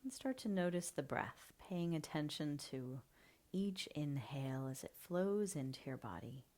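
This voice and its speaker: A woman leading a guided breathing meditation, speaking softly and slowly, often half-whispering. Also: sits low, expressive. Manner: slow, soft and soothing, often half-whispered.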